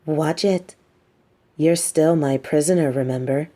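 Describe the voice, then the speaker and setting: Woman acting as a smug, mellow-voiced female supervillain. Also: sits low, a little slow. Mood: impatient, puzzled. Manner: taunting, theatrical, conversational. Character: smug, mean but sympathetic female supervillain captor. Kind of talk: roleplay audio drama monologue.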